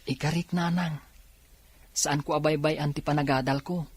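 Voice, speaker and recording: An actor with an Ilocano accent playing a dutiful, patient young eldest son from a poor farm in a radio drama, earnest and respectful. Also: male voice, on the high side, steady tone, normal speed, breathy edge, no room sound. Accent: Filipino, Ilocano.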